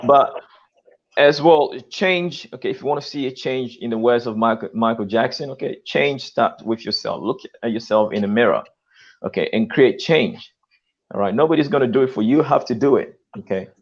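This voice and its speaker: A man's voice: medium pitch, moderate pace. Male stream host, emphatic and repetitive, mid-range voice, muffled lo-fi audio. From lives in Australia. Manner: emphatic, repeats "okay" and "you know". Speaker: channel host. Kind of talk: live-stream call discussion.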